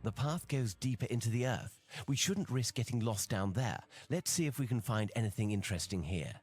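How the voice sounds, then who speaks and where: An actor playing a confident, analytical gentleman detective in a video game, speaking in a measured, formal and authoritative way. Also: medium pitch, lively intonation, slightly rough. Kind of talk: video game cutscene acting. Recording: music behind the voice. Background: game music.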